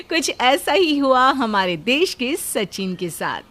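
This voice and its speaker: A woman's voice: high voice, very animated, slow pace, a bit bright. A woman narrating a scripted story in a dramatic storytelling style.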